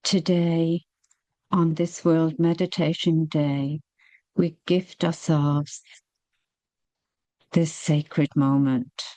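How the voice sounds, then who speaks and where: Very slow, mellow woman guiding meditation. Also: low voice, dry recording. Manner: soothing, gentle, unhurried with long pauses. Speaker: meditation guide. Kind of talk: guided meditation.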